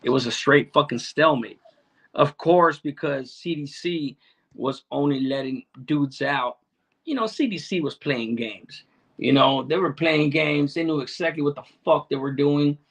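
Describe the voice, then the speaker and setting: A man's voice: fairly high pitch, unhurried, fairly bright tone. A middle-aged man, chatting casually on a livestream in streetwise slang and heavy swearing, often repeating himself. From Salvadoran, raised in Chicano neighborhoods. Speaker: livestream host answering chat.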